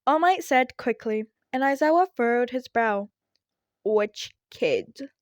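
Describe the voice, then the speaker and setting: A woman's voice: high-pitched, lively intonation, moderate pace, dry recording, studio quality. A woman reading fan fiction aloud as scripted narration.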